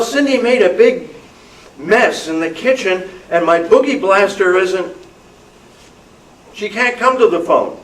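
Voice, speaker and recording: Little boy for a spooky radio play, played by a man: high, lively, innocently creepy, in an echoey room. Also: heavily acted. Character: eerily innocent young boy obsessed with boogeymen. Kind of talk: amateur radio thriller acting. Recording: echoey room, distant mic, faint noise.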